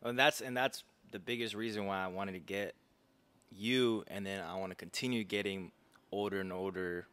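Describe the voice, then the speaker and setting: A man's voice: sits low, fairly even intonation, moderate pace, a bit bright, clean tone. A man in his twenties hosting a podcast, talking in a casual, slangy, playfully teasing way. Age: 20s. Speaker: podcast host. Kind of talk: casual interview chat.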